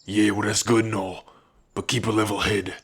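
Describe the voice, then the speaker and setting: A man's voice: lowish voice, level tone, moderate pace, slightly rough. A man playing a level-headed, wry comrade of an orc warrior in an ASMR fantasy roleplay, cautioning in a folksy way. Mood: wry, cautious.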